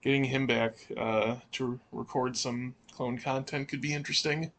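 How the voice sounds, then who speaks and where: Mid-pitched male co-host, faint background noise. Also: fairly even intonation, normal speed, a bit bright. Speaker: podcast co-host. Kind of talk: casual discussion, posing questions.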